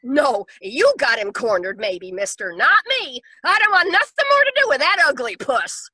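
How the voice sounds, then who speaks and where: A woman's voice: high voice, sing-song, normal speed, sharp tone, slightly rough, no echo, full character voice. A woman playing a panicky, uneducated, brassy woman in old-time detective radio, with melodramatic, slangy working-class speech. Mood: wary, grudging. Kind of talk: 1940s radio detective acting.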